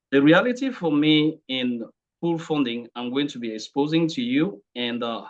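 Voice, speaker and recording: A Cameroonian-accented man with a medium-pitched voice speaks as a presenter at an online training session. His delivery is deliberate and halting, with words repeated as he goes. The sound is muffled and low in fidelity. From Cameroon, English-speaking region. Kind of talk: informal presentation to an online group.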